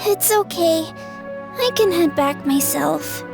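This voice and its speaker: A woman's voice: extremely high pitch, takes it slowly, gravelly, over music, full character voice. An actress voicing a shy, forlorn, sickly young girl in an anime-style video game, hesitant, polite and reserved. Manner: hesitant, soft-spoken, reserved.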